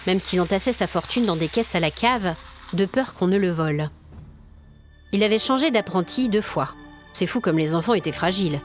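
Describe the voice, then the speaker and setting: A woman storyteller reading scripted narration for a dramatic audio tale. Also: mid-range voice.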